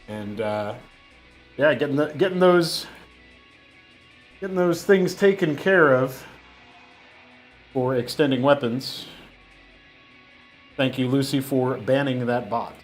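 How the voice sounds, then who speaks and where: Young male streamer with a slight lingering cough, middle-pitched voice, casual rambling chat, faint music behind. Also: slow pace, long pauses. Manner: rambling, with frequent fillers and restarts.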